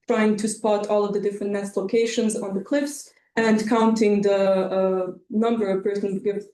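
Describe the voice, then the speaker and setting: A woman whose first language is Serbian, presenting her volunteer work haltingly with frequent fillers. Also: mid-range voice, dark tone, echoey room, slight background noise. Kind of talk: presentation talk.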